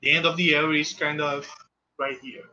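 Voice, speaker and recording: A man with a Portuguese accent, a native Portuguese speaker, commentating his own speedrun live in halting English that often restarts mid-phrase. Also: on the high side, level tone, pushed and bright, lo-fi sound.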